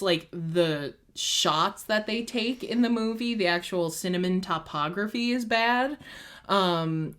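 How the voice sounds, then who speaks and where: A woman's voice: mid-range voice, fairly bright tone. A young woman co-hosting a casual chat, rambling enthusiastically with lots of "like". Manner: gushing, rambling, heavy use of "like". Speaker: co-host.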